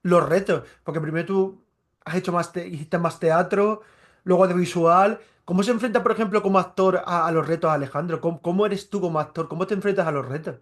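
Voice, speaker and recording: A male interviewer who talks quickly in a somewhat high voice. His questions tumble out with restarts and repeated words before he lands on them. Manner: rapid, stumbling questions with many restarts and fillers. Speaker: interviewer, journalist. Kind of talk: interview conversation.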